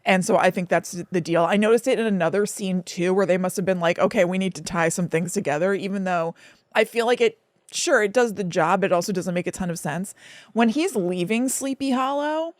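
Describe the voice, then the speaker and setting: A woman's voice: mid-range voice, a little fast, no echo, studio quality. A woman co-hosting a podcast, chatting casually about film in a conversational way, with plenty of "like" and asides. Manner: conversational, frequent "like" and hedging asides. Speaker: podcast co-host.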